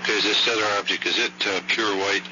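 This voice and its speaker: American male hotline operator, low-pitched, courteous and businesslike, on a noisy archival phone recording. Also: steady tone, fast talker, dull audio. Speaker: hotline operator taking a report. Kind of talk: polite question-and-answer phone intake. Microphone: telephone handset.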